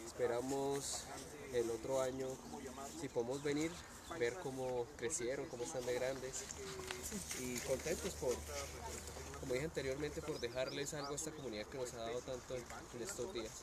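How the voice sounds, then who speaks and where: A man speaking softly and hopefully as a guest in an outdoor interview. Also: medium pitch, steady tone, moderate pace. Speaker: artist and guest. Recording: speaking from a distance over loud crowd noise.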